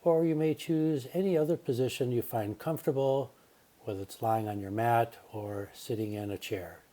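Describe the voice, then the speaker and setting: Man, very dark relaxed tone, calm yoga nidra teacher, gentle guided relaxation, unhurried phrases with pauses. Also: medium pitch.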